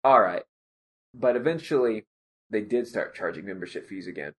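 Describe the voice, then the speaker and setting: A man's voice: fairly low pitch, animated, normal speed. A man co-hosting a podcast, chatting casually and telling a joking anecdote. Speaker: podcast co-host. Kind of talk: casual chat.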